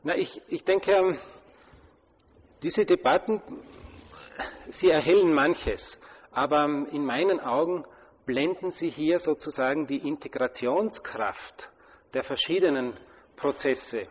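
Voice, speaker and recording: A German man gives a scholarly answer in a lecture hall, his husky voice softened by a dull, muffled recording. The long reverb hangs behind his careful, hesitant phrasing full of fillers and restarts. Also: a little high, a little slow. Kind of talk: academic discussion.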